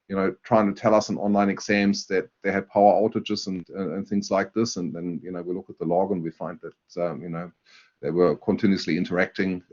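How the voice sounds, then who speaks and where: A man with a German accent presenting a university webinar, talking in a halting way with many fillers and restarts. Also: low voice, darkish tone. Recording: dull lo-fi webinar audio.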